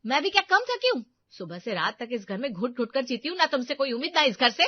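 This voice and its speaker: A woman's voice: high-pitched, very animated, pitched above the performer's own voice. A woman voicing a frustrated young mother who argues sharply with her husband, in a storybook. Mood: bitter. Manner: sharp, rushed complaining. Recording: dull dry narration track.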